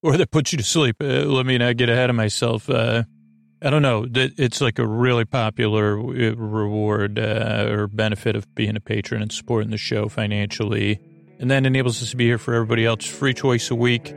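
An American man with a creaky voice, hosting a bedtime podcast in a lulling, rambling, hesitant way, full of filler words and tangents. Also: medium pitch, little pitch movement, a little fast, soft background music. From United States. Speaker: podcast host.

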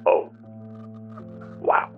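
An actor playing a reserved, supportive male friend, talking gently and naturally over a phone line in an audio drama. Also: drawn-out words. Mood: awed. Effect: telephone filter. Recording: tinny phone line with music.

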